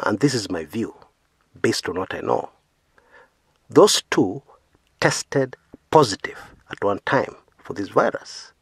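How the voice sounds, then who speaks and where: Male commentary host from Kenya, second-language English, earnest and emphatic, animated intonation, slightly husky. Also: mid-range voice, dry recording, clean studio sound. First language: not English. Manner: earnest, emphatic, frequent "yeah" tags, rising stresses on key words. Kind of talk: opinion commentary monologue.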